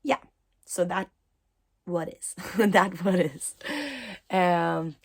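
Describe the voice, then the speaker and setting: A woman who is a mindset coach and spiritual teacher, speaking in a reflective talk to camera, pausing often with soft fillers. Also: on the low side, steady tone.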